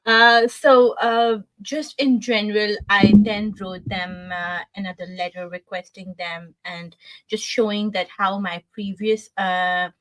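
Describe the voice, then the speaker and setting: A woman's voice: medium pitch, fairly even intonation, slow, poor audio. A woman in her twenties from Pakistan, English not her first language, answering interview questions with frequent hesitations. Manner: soft-spoken with frequent "uh" hesitations. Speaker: guest. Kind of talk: casual interview.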